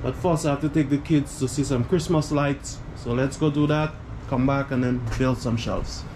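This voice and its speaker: A man narrating a home repair vlog in a basement, explaining each step in a relaxed, casual way. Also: mid-range voice, normal speed, noisy background. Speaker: home renovation vlogger. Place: unfinished basement indoors.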